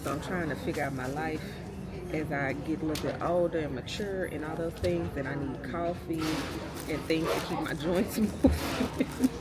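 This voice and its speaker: A woman's voice: low voice, normal speed, over a noisy crowd. An American woman in her late thirties chatting casually and slangily to her viewers like friends, as a lifestyle vlogger. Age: late 30s. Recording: loud music behind the voice. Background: loud background music.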